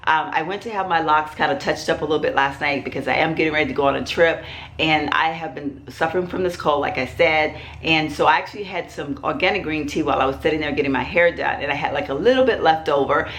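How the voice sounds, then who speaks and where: A woman's voice: low-pitched, fast, a bit bright. A middle-aged woman, sick with a cold, chatting casually and rambling to her viewers as a vlog host. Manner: rambling, chatty, many fillers. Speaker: vlogger host. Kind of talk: casual chat to camera.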